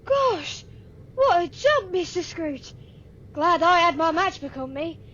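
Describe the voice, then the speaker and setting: A woman's voice: extremely high pitch, big pitch swings, slow, a bit bright, full character voice. A woman playing a plucky, earnest nine-year-old boy in a radio play, with old-fashioned urchin phrasing. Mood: chirpy. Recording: dull, lo-fi, faintly noisy recording.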